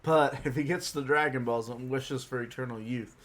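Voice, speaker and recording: A man with a slightly hoarse voice, hosting a podcast and chatting casually. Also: mid-range voice, steady tone, normal speed. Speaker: podcast host. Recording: noticeable background noise.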